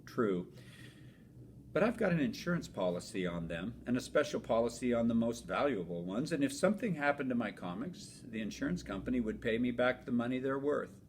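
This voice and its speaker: A man's voice: lively intonation, brisk, natural voice. A man reading aloud as a patient, reasonable father in a storybook, explaining things with upbeat emphasis. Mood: reasoning. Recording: noisy with low rumble.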